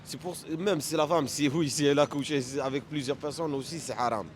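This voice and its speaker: Halting Arabic-speaking man, repeating himself. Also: on the high side, slight background noise. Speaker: street interviewee. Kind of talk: unscripted street debate.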